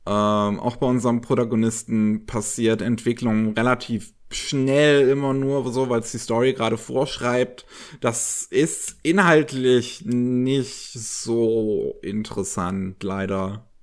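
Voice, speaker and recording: A man's voice: mid-range voice, fairly even intonation, slow pace, smooth. Hesitant man chatting about a series. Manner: hesitant, searching for words with many fillers. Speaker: podcast co-host reviewing anime. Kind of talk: casual review chat.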